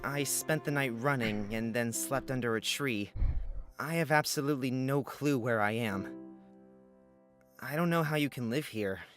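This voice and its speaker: A man's voice: mid-range voice, normal speed, fairly bright tone, soft background music, close to an everyday voice. A man playing an uneasy young traveler lost in a forest, speaking with questioning uncertainty in a visual novel. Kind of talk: visual novel character acting.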